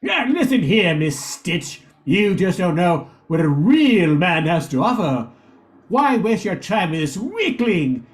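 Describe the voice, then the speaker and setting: A man's voice: sits high, sing-song, takes it slowly, full character voice. A man playing a villainous small-town gambler and bully, taunting and leering, in an amateur radio play. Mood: boastful wooing. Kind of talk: amateur 1940s-style radio comedy acting.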